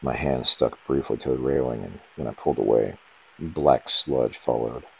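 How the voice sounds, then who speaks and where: A man reading a scripted first-person horror story in short, ominous, dramatic phrases, with a gravelly, husky voice. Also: a bass voice, normal speed.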